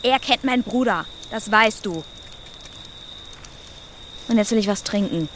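A woman's voice: medium pitch, a little slow, a bit bright, slight background noise, close to an everyday voice. An actress playing a tough, defiant young girlfriend who talks curtly in a radio drama. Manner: curt, defiant. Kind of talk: naturalistic radio drama acting.

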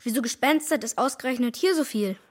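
An actress playing a curious, excitable young assistant ghost hunter, speaking eagerly and informally in a children's radio drama. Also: female voice, a little high, fast, close to an everyday voice.

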